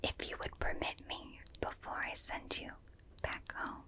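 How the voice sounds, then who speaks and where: A woman voicing a calm, formally polite alien examiner in a breathy, soothing ASMR roleplay whisper, speaking precisely into a close binaural microphone. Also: a little slow, lightly acted. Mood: polite, approving. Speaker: ASMR performer.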